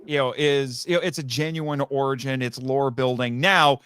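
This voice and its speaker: A man's voice: medium pitch, steady tone, normal speed, a bit bright, clear, resonant tone, dry recording, clean studio sound. A man talking casually as a podcast guest and audio drama writer, chatty and rambling, with frequent asides.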